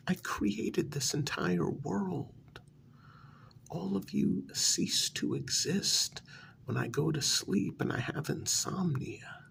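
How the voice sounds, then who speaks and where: A man playing a smug, deluded asylum inmate in a soft-spoken ASMR role-play, breathy and half-whispered, talking down to his listener in a halting, condescending way. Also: high-pitched, full character voice. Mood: troubled, musing. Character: deluded male asylum inmate, smugly convinced he created the world.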